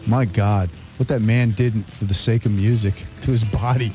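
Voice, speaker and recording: A man's voice: lowish voice, fairly even intonation, moderate pace, warm tone. A middle-aged American man chatting casually as a freeform radio DJ, telling stories in a wry, rambling, repetitive way. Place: radio studio. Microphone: studio broadcast microphone. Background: music playing.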